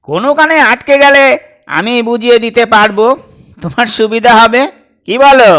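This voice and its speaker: Man acting a stern, high-strung blustering father. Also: normal speed, sharp tone. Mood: insistent. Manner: scolding, emphatic, rhetorical questions. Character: stern, blustering, sarcastic father of a grown son. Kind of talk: comic audio story acting.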